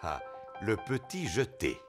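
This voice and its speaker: A man's voice: on the low side, wildly swooping pitch, slow pace, exaggerated voice. A man voicing a jovial, easygoing daddy pig who chuckles through a children's cartoon. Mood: jolly. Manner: chuckling, unbothered, jolly. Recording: music behind the voice.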